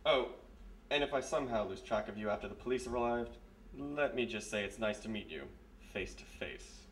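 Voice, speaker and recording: A man's voice: lowish voice, brisk, fairly bright tone, slight background noise, close to an everyday voice. A man playing a dry, courtly, begrudging army Major in a live old-time radio mystery. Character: formal, suave, begrudging male army Major. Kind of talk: live 1930s-style radio mystery acting. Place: live stage performance in historic house. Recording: echoey live stage, slightly distant.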